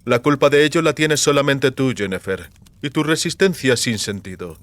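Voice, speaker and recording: A man's voice: mid-range voice, moderate pace, dry recording, close to an everyday voice. A man voicing a falsely polite, menacing sorcerer who holds a captive, in an audiobook. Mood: cold blaming. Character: male sorcerer villain, falsely polite, coldly menacing captor.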